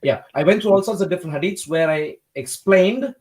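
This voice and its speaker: A man from India hosting a debate livestream, talking in a measured, polite way with frequent hesitations. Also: high voice, animated, a little mellow. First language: not Hindi. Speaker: livestream host.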